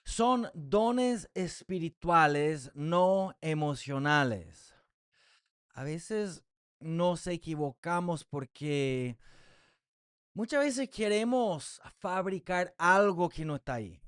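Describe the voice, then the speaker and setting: Slow, clear-voiced preacher, Spanish as second language. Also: male voice, a little high, lively intonation, a bit bright, no room sound, studio quality. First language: English. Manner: slow, deliberate reading of scripture with frequent fillers. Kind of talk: Bible teaching sermon.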